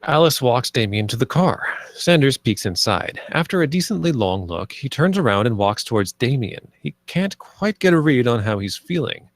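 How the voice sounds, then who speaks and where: A man's voice: mid-range voice, big pitch swings, normal speed, hardly any pauses, dry recording. A man narrating scripted stage directions at a screenplay table read.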